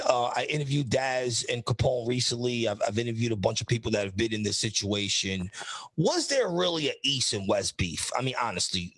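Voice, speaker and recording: A man's voice: mid-range voice, a bit bright, husky, no room sound. A man hosting a live interview show, asking long multi-part questions and relaying viewer questions. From United States. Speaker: interview host.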